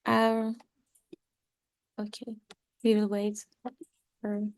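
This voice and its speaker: A woman's voice: medium pitch, completely flat delivery, very slow, darkish tone, dry recording. Young woman moderating a video seminar. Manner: hesitant, many fillers. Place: home room. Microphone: video call.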